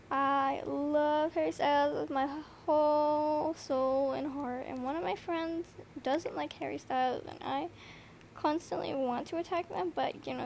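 High-voiced teen girl with a slight lisp. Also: vocal fry, a little hiss or hum. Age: teens. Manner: rambling, swears often, lots of fillers. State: tired from lack of sleep. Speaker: podcast host. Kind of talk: casual solo podcast chat. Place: noisy bedroom. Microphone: earbud microphone.

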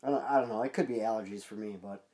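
A man's voice: on the low side, rapid-fire, mellow. A man co-hosting a wrestling podcast in casual chat, sounding stuffed up and nasal from congestion. He rambles loosely, with fillers and restarts. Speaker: podcast co-host.

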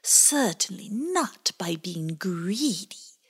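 A woman voicing a stern, mysterious swamp fairy in a hushed, breathy whisper, slow and knowing. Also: on the low side, sing-song, exaggerated voice.

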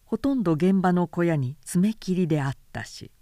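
A woman reading literary fiction as a narrator, with measured delivery and careful enunciation. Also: fairly low pitch, lively intonation, mellow, no echo, studio quality. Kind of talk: scripted literary audiobook narration.

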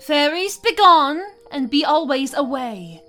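A woman plays an enchanted fairy queen in a Shakespeare radio drama. The voice is high and unhurried, shaping the verse lyrically with royal feeling. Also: lively intonation, sharp tone, faint music behind, exaggerated voice. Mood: tender. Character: doting, regal fairy queen under a love spell. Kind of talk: amateur Shakespeare radio play acting.

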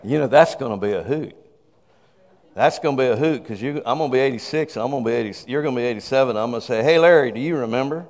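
A man's voice: mid-range voice, fast talker. A man in his fifties preaching a sermon, exuberant and calling out praise. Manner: exuberant, calls out praise and shouts "woo". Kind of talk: sermon with a congregant. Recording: reverberant hall.

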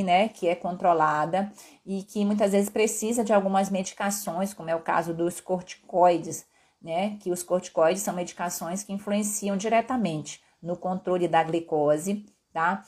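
A woman's voice: mid-range voice, normal speed, darkish tone. A woman doctor with a Brazilian accent from Pará, mixed with Northeastern tones, casually answering viewer questions on a live stream. She explains things in a friendly way and keeps checking in with "tá?" and "né?". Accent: Brazilian Portuguese from Pará, mixed with Northeastern. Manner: friendly and explanatory, peppered with "tá?" and "né?" tags. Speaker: endocrinologist doctor hosting a live Q&A.

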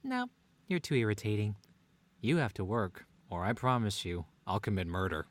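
A man's voice: on the low side, moderate pace. A man playing a long-suffering husband and father who banters drily in a scripted audio comedy drama. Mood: deadpan exasperated. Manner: dry, deadpan, bantering.

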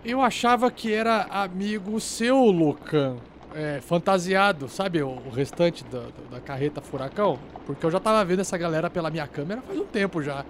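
A man's voice: high-pitched, lively intonation, moderate pace, hardly any pauses, slight background noise. A man playing a cocky, mercenary hacker shut-in, sardonic, theatrical and fussy, in a tabletop game.

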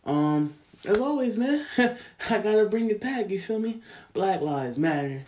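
A man's voice: high voice, expressive, unhurried, darkish tone. A young man co-hosting a podcast, chatting casually in slang and laughing often. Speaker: podcast co-host.

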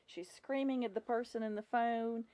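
A woman's voice: fairly high pitch, steady tone, moderate pace, dark tone, dry recording. A woman from Russia, a podcast guest with a Russian accent and a Southern US twang, telling stories in casual conversation.

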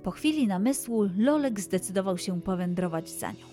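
A woman's voice: mid-range voice, lively intonation, normal speed, mellow, faint music behind. A woman narrating a scripted children's story as the storyteller.

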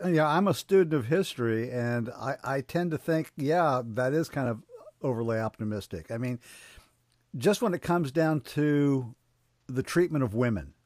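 Male host, hesitant and rambling, dry close sound, mid pitch. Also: animated, darkish tone, no room sound. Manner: halting, many fillers and restarts. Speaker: interview host. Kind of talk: conversational interview.

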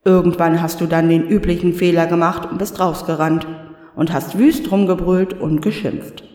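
A man voicing Death as a calm, patient spirit who speaks in a measured, dryly stern way. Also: a little high, natural voice. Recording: echoey room with long reverb.

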